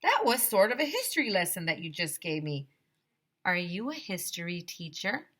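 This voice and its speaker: A woman's voice: on the low side, big pitch swings, normal speed, a bit bright. A woman voicing an impatient schoolchild in a storybook, pleading and insistent. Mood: puzzled. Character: impatient schoolchild craving ice cream.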